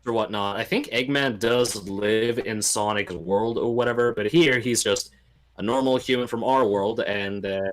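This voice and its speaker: A man's voice: on the low side, normal speed. A man talking casually about movies as a guest on a podcast.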